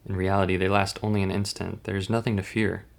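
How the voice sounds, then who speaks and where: Man playing a gravelly, reserved hospital doctor. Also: low-pitched, fast. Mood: calm, clinical. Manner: calm, clinical, reserved. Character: measured, reassuring male hospital doctor. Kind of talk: horror manga dub acting.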